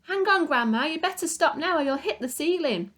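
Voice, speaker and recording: A woman's voice: a little high, animated, moderate pace, pitched above the performer's own voice, lightly acted. A woman voicing an anxious, earnest young boy in a read-aloud story, pleading and exclaiming.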